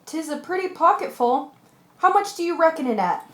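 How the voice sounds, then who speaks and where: A woman's voice: high-pitched, very animated, moderate pace, fairly bright tone. A woman playing a sensible, stubborn castaway in an old-fashioned radio adventure play. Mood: awed. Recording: roomy, echoing recording.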